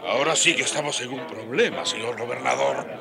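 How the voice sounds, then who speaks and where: An actor playing an anxious, conniving colonial crony in a radio drama, agitated and scornful. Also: male voice, medium pitch, fairly quick, creaky, over a noisy crowd, natural voice. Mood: alarmed scheming.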